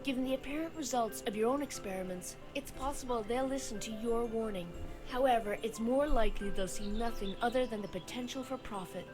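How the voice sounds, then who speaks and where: An actress plays a concerned female scientist in an animated adventure game. She reads her letter in a measured, earnest way, her voice on the higher side, with music clearly behind it. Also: close to an everyday voice.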